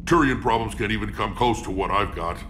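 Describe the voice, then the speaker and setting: An actor playing a blunt, battle-hardened male alien warlord with a gravelly voice and a dry, sardonic manner. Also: fairly low pitch, a little fast, background noise, natural voice. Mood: gruff. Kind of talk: video game voice acting.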